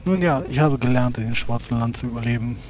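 A man playing a tabletop role-playing game in character, speaking in measured, formal words with hesitant pauses. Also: medium pitch, darkish tone, slight background noise.

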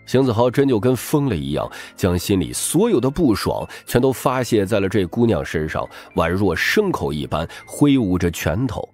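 A man's voice: on the low side, big pitch swings, moderate pace, few pauses, a little mellow, soft background music. A man narrating an audiobook as a dramatic scripted story.

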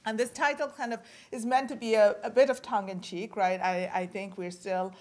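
A woman's voice: medium pitch, fairly even intonation, moderate pace, a little mellow. A woman in her forties from Bangladesh giving an academic talk at a podium microphone, with frequent fillers and restarts. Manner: casual, many fillers and restarts. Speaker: guest lecturer. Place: lecture hall at a podium.

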